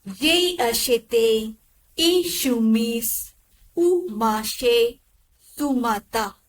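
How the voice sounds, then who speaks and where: A woman modelling sentences for a language lesson, enunciating each phrase clearly and evenly. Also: fairly high pitch, lively intonation, extremely slow. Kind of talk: slow model repetitions of sentences.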